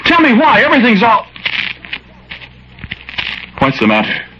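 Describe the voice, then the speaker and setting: A man's voice: very high voice, wildly swooping pitch, a little slow, full character voice. A frightened condemned man's spirit. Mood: alarmed. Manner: anxious, rising to accusing outbursts. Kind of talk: 1940s radio acting. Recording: scratchy lo-fi 1940s radio broadcast with hiss.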